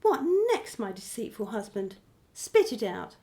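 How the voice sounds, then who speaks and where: An actress in a radio play voicing a sharp, strong-willed wife who speaks pointedly and insistently. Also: female voice, medium pitch, big pitch swings, a little slow. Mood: accusing.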